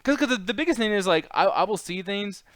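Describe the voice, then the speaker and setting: A man hosting a casual chat show, arguing about films in an animated, profane, slangy way. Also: high voice, fast, no echo. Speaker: podcast host.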